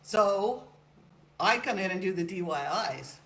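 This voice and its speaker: A woman speaking as a guest in a casual live chat, laid-back and quick to laugh. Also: medium pitch, lively intonation, fairly bright tone, distant mic. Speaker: podcast guest. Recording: echoey room with crowd noise.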